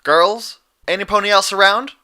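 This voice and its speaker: A man voicing a steady, folksy young female farm pony with a casual twang in a story reading. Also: high-pitched, moderate pace, voice pushed up. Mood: calling out.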